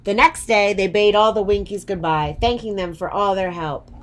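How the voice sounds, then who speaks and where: A woman's voice: mid-range voice, normal speed. A woman storyteller narrating a children's storybook expressively.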